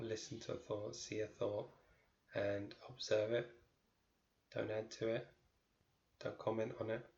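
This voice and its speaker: A man leads a guided meditation in a fairly low, even voice with little melodic movement. He speaks in short, measured phrases and leaves pauses between them. The recording is roomy and somewhat muffled. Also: vocal fry. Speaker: meditation teacher.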